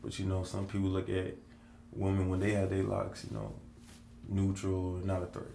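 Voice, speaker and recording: A man's voice: low voice, monotone, moderate pace, vocal fry, dark tone, echoey room, a little hiss or hum. A man co-hosting a podcast, talking in an easygoing way in loose, run-on phrases. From United States. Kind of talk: casual conversation.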